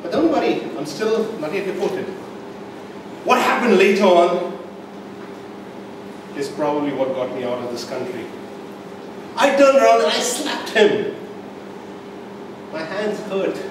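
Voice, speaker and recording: A man telling a comic tall tale as a speech to a live audience, with theatrical pauses and an emphatic build-up. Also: high voice, big pitch swings. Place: indoor meeting room, recorded from the audience. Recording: distant microphone, echoey room, background noise.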